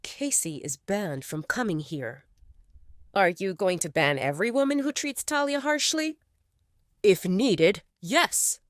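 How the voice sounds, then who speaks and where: A woman's voice: mid-range voice, expressive, unhurried, no room sound, studio quality, close to an everyday voice. Woman voicing a curt werewolf alpha. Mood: firm. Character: stern young male alpha werewolf leader.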